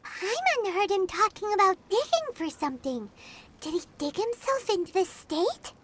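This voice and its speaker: A woman voicing a tiny, excitable fairy companion in a video game who talks about herself in the third person. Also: very high voice, normal speed, slight background noise, heavily acted. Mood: worried.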